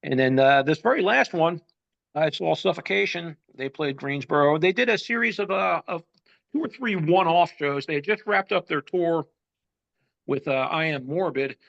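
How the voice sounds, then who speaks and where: A congested man with a gravelly, fry-laden voice tells concert stories on a casual podcast. His talk wanders, with lots of ums and you knows. The audio is somewhat dull and lo-fi. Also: a little high, expressive, normal speed, a bit bright. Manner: chatty storyteller, many ums and you knows. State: congested, getting over a cold. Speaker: podcast host. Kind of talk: casual chat.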